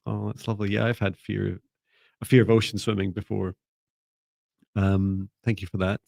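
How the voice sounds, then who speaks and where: Calm, low, gravelly-voiced man. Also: moderate pace, no room sound, studio quality. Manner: calm, soft-spoken, gentle; hesitant, with repeated restarts. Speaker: spiritual mentor. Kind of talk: live online class, reading viewer comments.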